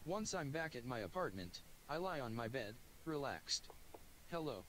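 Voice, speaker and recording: A gaming video maker reading a visual novel's narration aloud in a plain, steady manner, recorded at a desk at home. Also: male voice, medium pitch, normal speed, a little hiss or hum, low-quality recording. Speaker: gaming YouTuber.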